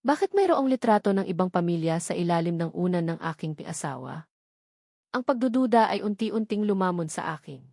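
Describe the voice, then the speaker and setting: A woman reading a first-person dramatic story as a narrator, in a measured and earnest storytelling manner. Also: lowish voice, animated, dark tone, dry recording, clean studio sound. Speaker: narrator of a romance audio drama.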